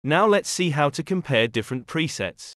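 A man reading a scripted software tutorial in a measured, instructional way. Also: fairly high pitch, animated, a little hiss or hum. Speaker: tutorial narrator.

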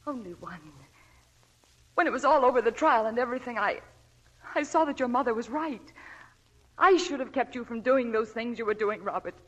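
An actress playing a desperate, devoted young wife in a 1940s radio drama, pleading and emotional. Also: female voice, on the high side, animated, moderate pace, long pauses, dull audio. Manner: pleading, emotional, breathy whispers.

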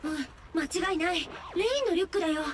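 A woman voicing a small, cute, excitable rabbit-like robot in an anime game, earnest and childlike. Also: extremely high pitch, fairly quick, slight background noise. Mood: curious, earnest. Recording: music behind the voice.

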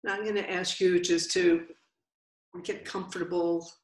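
A woman leads a guided meditation with a low-pitched voice for a woman. She speaks very slowly and gently, leaving long pauses between short phrases. The sound is roomy, with clear echo around her voice. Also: flat delivery. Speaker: meditation teacher.

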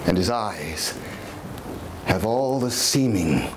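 A man in his sixties giving a theatrical poetry recitation, deliberate and full of dramatic pauses. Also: lowish voice, lively intonation. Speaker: dramatic reader. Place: dim candlelit room in an old house, live before a small audience. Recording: noisy live room recording.

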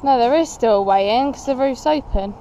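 A woman's voice: a little high, fairly quick, mellow, a little hiss or hum. A young woman chatting casually as she walks and explores, exclaiming at what she finds, recorded on a camera she carries. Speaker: urban explorer vlogger. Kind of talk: casual walk-and-talk narration. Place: outdoors and inside a derelict building.

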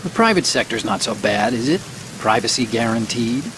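A man's voice: medium pitch, lively intonation, normal speed, close to an everyday voice. A man acting as a young American tech-expert sidekick in a video game. He is earnest and explanatory, with an ordinary mid-range male voice. Noticeable rain-like noise runs behind him. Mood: chatty. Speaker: mission support partner. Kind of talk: video game cutscene acting, radio dialogue.

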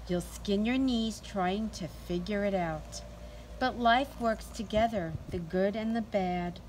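Middle aged lady reading to little kids outside, really slow and expressive, bit of outdoor noise. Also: mid-range voice, low-quality recording. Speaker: teacher reading to children. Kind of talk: read-aloud storytime for young children. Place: outdoors in a sunny backyard.

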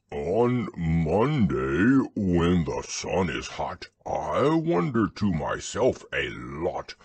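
A man voicing a simple, kindly storybook bear who talks in a hesitant, ponderous, drawn-out way. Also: low voice, husky, no echo, exaggerated voice. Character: slow, simple, kindly bear of little brain.

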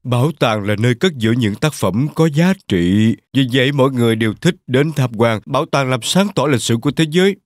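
A man's voice: mid-range voice, expressive, a little fast, few pauses, no echo, clean studio sound, lightly acted. Man playing an explanatory cartoon bear teacher. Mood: instructive. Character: instructive bear schoolteacher guiding young pupils. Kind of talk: children's cartoon dialogue.

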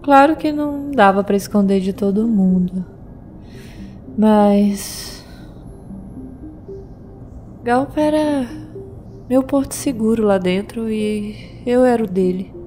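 A woman with a Brazilian accent voicing a pregnant young fighter who talks with halting restarts, in an audio drama. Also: medium pitch, mellow, faint music behind, close to an everyday voice. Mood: worried. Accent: Brazilian Portuguese. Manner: hesitant, stumbling restarts.

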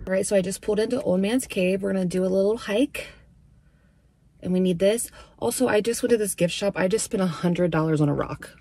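A woman's voice: mid-range voice, brisk, warm tone, breathy edge. A woman chatting casually to her phone camera in a travel vlog, playful and crude, with frequent jokes and laughter. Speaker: travel vlogger.

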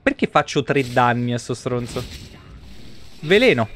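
A man's voice: medium pitch, very animated, a little slow, a bit bright. A man, giving casual, blunt and sweary live game commentary to his chat. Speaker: live streamer. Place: indoor room at home, streaming setup. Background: video game music.

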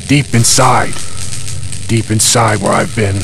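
A man's voice: lowish voice, slow, vocal fry, fairly bright tone, soft background music, full character voice. A male actor playing the bitter, worn-out ghost of a long-held prisoner in a horror game, ominous and weary. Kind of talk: dramatic horror game dialogue.

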